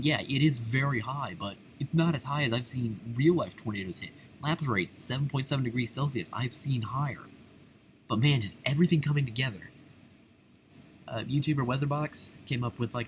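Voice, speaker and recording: An American man giving live, unscripted reaction commentary as host, excitable and exclamatory, with casual slang. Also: medium pitch, slight background noise.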